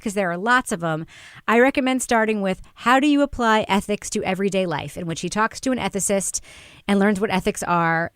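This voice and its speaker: A woman's voice: medium pitch, smooth, no room sound, studio quality. A woman hosting a conversational podcast, giving recommendations in an emphatic, enthusiastic way. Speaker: podcast host and moderator.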